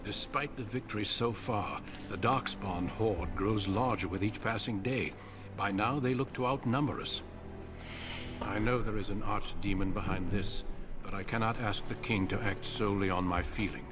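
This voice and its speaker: Brief: an actor as a grave veteran warrior, low and measured, game music clear behind. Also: male voice, natural voice. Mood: solemn. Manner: measured, solemn. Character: grave, seasoned older male warrior leader.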